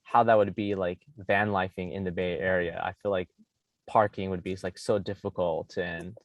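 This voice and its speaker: Laid-back halting man, podcast host. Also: low voice, fairly even intonation, no echo. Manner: laid-back, halting, repeats words, says "man" and "you know". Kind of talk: casual chat.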